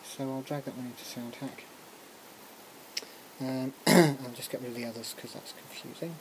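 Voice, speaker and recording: Hesitant software tutor over noisy background. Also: mid-range voice, fairly even intonation, normal speed. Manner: hesitant, self-interrupting, muttering asides. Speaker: music technology lecturer. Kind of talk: informal software tutorial, talking through a demonstration. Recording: clearly noisy recording with room ambience.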